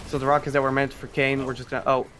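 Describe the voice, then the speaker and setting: A man's voice: medium pitch, completely flat delivery, racing, fairly bright tone. Male speedrun commentator with faint background noise. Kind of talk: live gameplay commentary, explanatory. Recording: faint background noise, live event stream.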